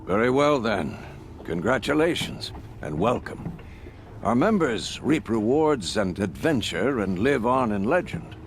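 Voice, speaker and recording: An actor playing a courteous veteran hunter in a video game who greets newcomers in a formal, explanatory manner. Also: male voice, mid-range voice, very animated, a little slow, slight background noise. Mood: formally welcoming.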